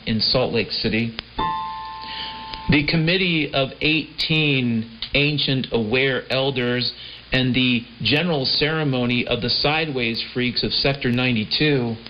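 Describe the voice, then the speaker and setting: Man rambling theatrically over loud music, lo-fi radio. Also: mid-range voice. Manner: theatrical mock-prophetic storytelling. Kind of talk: rambling improvised comic monologue. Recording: dull lo-fi shortwave radio recording. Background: prominent piano and keyboard music.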